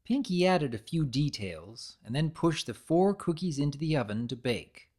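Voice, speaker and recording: A man reading a story aloud as narrator, in an expressive, measured storybook style. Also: a little high. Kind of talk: dramatic reading of fan fiction, scripted storybook narration.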